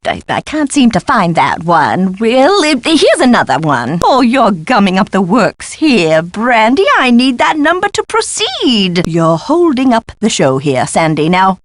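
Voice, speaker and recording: Theatrical, fussy lady scholar, swooping pitch. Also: no room sound. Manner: gushing, pompous, theatrical, precise diction. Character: eccentric, fussy, theatrical woman scholar of French history. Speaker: voice actor in an adventure game. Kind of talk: scripted video game dialogue.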